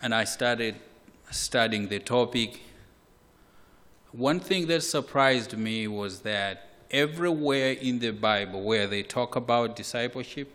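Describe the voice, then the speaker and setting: A man from Malawi, preaching a sermon in a slow, measured way with repeated words. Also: mid-range voice. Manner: slow, measured, with repetitions and occasional soft laughs. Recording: hall reverb.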